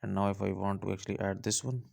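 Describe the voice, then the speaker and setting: A man's voice: low voice, steady tone, fast, creaky, a little mellow, dry recording, clean studio sound. A man hosting a step-by-step app tutorial, explaining each tap plainly with fillers like "okay" and "uh". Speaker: tutorial host. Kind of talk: screen-recorded app tutorial.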